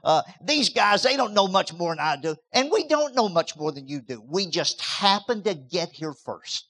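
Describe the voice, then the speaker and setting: A man's voice: sits high, very animated, normal speed, sharp tone. A man, preaching a sermon in an emphatic style, with repeated words and rising bursts. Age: 60s. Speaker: pastor.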